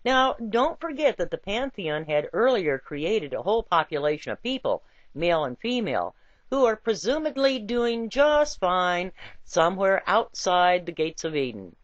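A woman reading a scripted essay with quotations in a deliberate, emphatic and wry storytelling way. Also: lowish voice, big pitch swings, dry recording, highs cut off. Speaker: reader.

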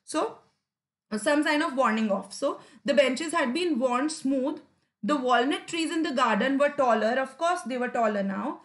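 A woman's voice: high voice, lively intonation, unhurried. A young adult woman with an Indian English accent, a Hindi native speaker, explaining a story line by line as an English teacher, often asking "right?" and "you know". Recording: echoey room.

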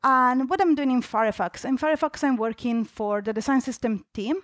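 A woman's voice: a little high, a little fast, dry recording, clean studio sound. A young adult woman from Italy with an Italian accent, giving a conversational conference talk with many fillers and restarts. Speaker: conference speaker. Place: large dark auditorium stage.

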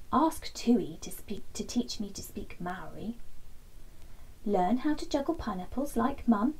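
A woman in her thirties reading a children's storybook aloud in an expressive, gentle bedtime manner. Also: on the high side, husky, poor audio. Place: small indoor den with fabric and soft toys.

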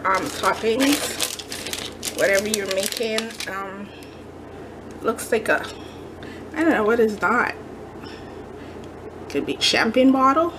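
Slow-talking gushing woman. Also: on the high side, noisy background. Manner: gushing, excitable, many fillers and "oh my god". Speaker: craft vlogger showing a shopping haul. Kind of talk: casual haul chat. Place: home kitchen counter, indoors.

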